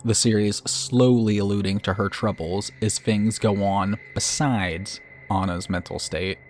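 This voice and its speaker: A man's voice: fairly low pitch, steady tone, measured pace, slight background noise. A man narrating a video review in a conversational scripted style, with informal, chatty asides as host. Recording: narration over background music. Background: background music.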